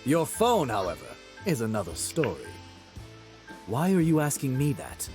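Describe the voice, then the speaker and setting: An actor playing a stern, protective middle-aged father from a crime family, measured and authoritative, in a visual novel game. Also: mid-range voice, wildly swooping pitch, a little mellow, soft background music. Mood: guarded, commanding.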